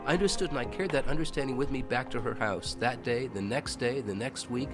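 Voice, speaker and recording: An actor playing a devoted older husband, narrating his story in a reflective, measured way. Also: medium pitch, natural voice. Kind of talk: first-person drama narration. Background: loud music.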